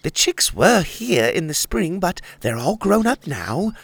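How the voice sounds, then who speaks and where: A gentle, motherly hen who speaks softly and pleasantly in a raised voice, as a man puts her on. Her voice rises and falls a lot, close and dry. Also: high voice, big pitch swings, unhurried, no echo, pitched above the performer's own voice, exaggerated voice.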